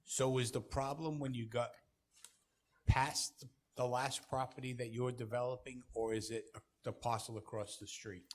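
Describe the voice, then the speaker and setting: A man's voice: mid-range voice, flat delivery, moderate pace. A planning board member, a man asking probing, practical questions at a town meeting.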